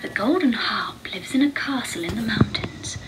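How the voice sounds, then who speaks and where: A woman narrating a children's read-along storybook with deliberate, expressive phrasing. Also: mid-range voice, very noisy.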